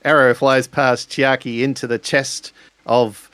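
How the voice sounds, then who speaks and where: A man improvising narration for a tabletop roleplaying game, speaking in a halting, deliberate way. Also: mid-range voice, little pitch movement, a bit bright, smooth, no room sound.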